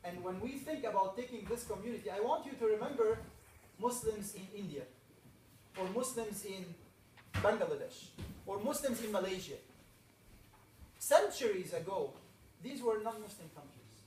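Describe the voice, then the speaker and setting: A man's voice: high voice, animated, normal speed, pauses often, a little mellow, a little hiss or hum. Egyptian-born man rallying a camp, echoey. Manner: earnest, rallying, builds up emphatic lists. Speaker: camp speaker. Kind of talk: opening talk to a camp audience. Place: camp meeting hall. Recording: echoey room, voice from a distance.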